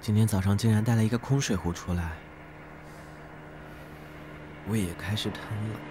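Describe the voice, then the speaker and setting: A man's voice: on the low side, quick pace, close to an everyday voice. A young man playing a shy, conscientious trainee traffic policeman in an audio drama, hesitant and earnest. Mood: weary, earnest.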